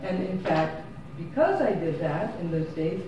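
A woman's voice: lowish voice, expressive, unhurried. A woman photographer giving an unscripted gallery talk, rambling and correcting herself as she goes, recorded by a far camera in a small gallery room. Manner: rambling, self-correcting, chatty. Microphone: far room camera, no microphone. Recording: very echoey room from far off with background noise.

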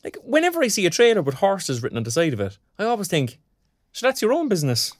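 Animated young Cork man, sarcastic. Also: high voice, fast talker, no room sound, studio quality, exaggerated voice. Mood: sardonic. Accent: Irish, County Cork. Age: young adult. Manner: colloquial, sardonic. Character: young Irish graduate, restless, sarcastic, hot-tempered protector. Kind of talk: naturalistic audio drama acting.

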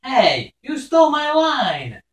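Man doing a high-pitched little cartoon sidekick. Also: wildly swooping pitch, slow, recorded from a distance, full character voice. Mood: indignant. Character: small, high-voiced, excitable cartoon creature sidekick. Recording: echoey room, game music behind.

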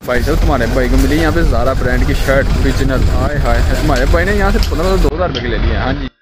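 A man's voice: mid-range voice, rapid-fire. A young man with a Lahori Pakistani accent chatting casually to viewers in a jokey, slangy, exclaiming way as he vlogs. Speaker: vlogger. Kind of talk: casual vlog chat, showing things to viewers. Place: outdoors in a busy street market.

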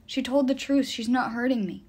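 A woman's voice: high voice, fairly even intonation, normal speed, a little mellow, pitched above the performer's own voice. A woman voicing a guarded young man in an audiobook, speaking in a blunt, terse way. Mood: gentle. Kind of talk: audiobook character dialogue.

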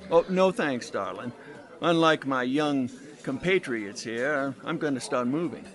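An actor playing a brash, worldly older 1920s sports reporter with wisecracking swagger in an audio comedy drama. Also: male voice, medium pitch, animated, measured pace, close to an everyday voice. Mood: wry. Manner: wisecracking, slangy, folksy swagger.

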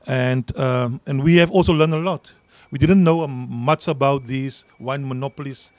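Middle-aged man, Afrikaans accent, union leader, emphatic, medium pitch. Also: normal speed, no room sound. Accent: South African Afrikaans. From South Africa. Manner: earnest, emphatic, repeats words when stressing a point. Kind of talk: interview answers at a public event. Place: outdoor garden event. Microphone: handheld mic.

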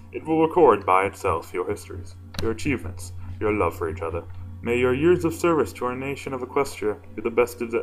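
A man with a deep voice reading fan fiction casually, informal and self-deprecating, stumbling now and then. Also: rough recording. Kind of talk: casual amateur story reading with asides.

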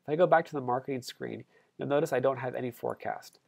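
Gravelly male instructor narrating a screencast. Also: mid-range voice, quick pace, darkish tone. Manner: explains step by step, self-corrects. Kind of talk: screencast tutorial walkthrough. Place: voice-over on screen recording.

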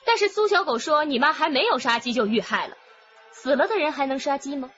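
A woman's voice: high-pitched, animated, a bit bright, muffled recording, soft background music. An actress playing a composed, methodical policewoman with an authoritative delivery in a radio drama. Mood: probing. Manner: measured, authoritative. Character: composed, methodical female police officer presenting evidence.

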